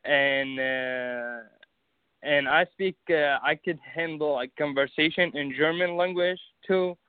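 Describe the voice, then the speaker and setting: A man's voice: fairly high pitch, slow, smooth, no room sound. A young man with an Arabic accent, a guest in a casual interview, talking hesitantly with many fillers and restarts. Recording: phone-like narrow sound, dry.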